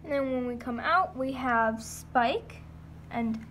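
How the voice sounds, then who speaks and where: High-voiced woman giving a toy barn tour. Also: slow pace, slight background noise. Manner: lists names in a steady run of "and then". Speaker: model horse hobby vlogger. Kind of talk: casual guided tour narration. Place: indoors in a home room beside a toy horse barn.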